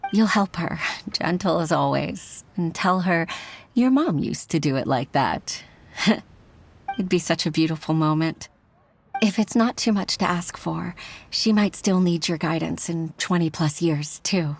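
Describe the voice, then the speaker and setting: An actress playing a gentle, earnest grown woman in a video game, modest and a little hesitant in a soft manner. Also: on the low side, animated, unhurried, slight background noise. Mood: wistful, tender. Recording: music under the voice.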